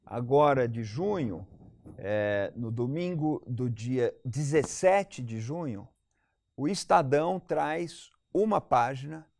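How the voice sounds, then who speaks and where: A Brazilian man with a slightly high-set voice, recorded dry and close. He speaks as a debate guest, laying out an analysis in an articulate, explanatory way and restating key points for emphasis. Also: a little mellow, no room sound. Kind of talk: analytical panel debate commentary.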